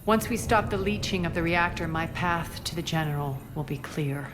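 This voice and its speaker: A woman's voice: lowish voice, normal speed, music in the background, natural voice. A woman playing a ruthless, haughty alien crime queen in a video game, speaking with cool deliberation. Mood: menacing confidence.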